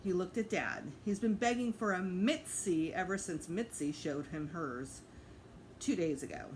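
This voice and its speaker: A woman's voice: fairly low pitch, a little slow, slight background noise. A woman narrating a children's book aloud as a teacher reading to students.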